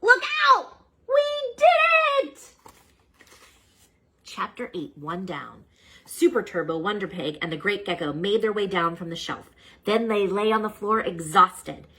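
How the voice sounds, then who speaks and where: A woman reading a children's storybook aloud as narrator, with an expressive storytelling manner. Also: lowish voice, normal speed, husky. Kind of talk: children's book read-aloud.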